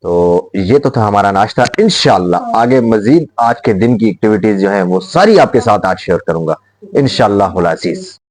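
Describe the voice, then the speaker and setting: A man's voice: on the low side, big pitch swings, normal speed, a little mellow. A man talking casually to his viewers at home as a family vlogger, chatty and teasing with his kids. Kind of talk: casual home vlog chat. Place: home, indoors.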